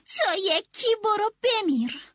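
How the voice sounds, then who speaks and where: A woman's voice: extremely high pitch, very animated, no echo, studio quality, exaggerated voice. A woman playing a spoiled, bossy queen bee. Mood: demanding. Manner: shouting, demanding, rude.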